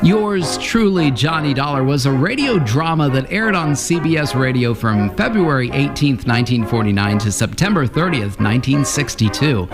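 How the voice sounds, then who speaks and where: A man presenting in scripted narration, with a creaky edge to his voice. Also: fairly high pitch.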